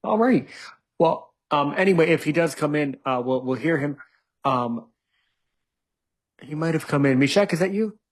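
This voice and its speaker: A man's voice: a little high, expressive, normal speed, pauses often. A man hosting a casual live interview show, often repeating his words and phrases as he directs the conversation. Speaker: show host.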